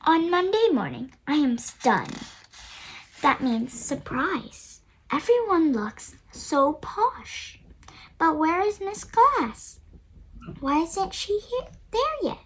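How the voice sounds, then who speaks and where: A young girl of about nine reads a storybook aloud in a high, very animated voice. She goes slowly and carefully, word by word, with some background noise on the recording. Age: child, about nine. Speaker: young reader of a picture book. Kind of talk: storybook read-aloud narration.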